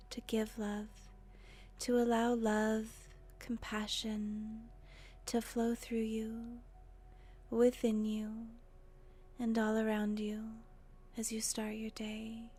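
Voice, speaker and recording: Woman, meditation guide, mellow breathy tone, slow pace, gentle delivery, long pauses. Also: a little high, monotone. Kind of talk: guided meditation, soothing narration.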